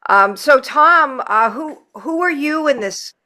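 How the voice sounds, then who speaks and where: A woman's voice: high voice, animated, slow pace, over a noisy crowd. A woman hosting a podcast, interviewing guests in a casual, conversational style.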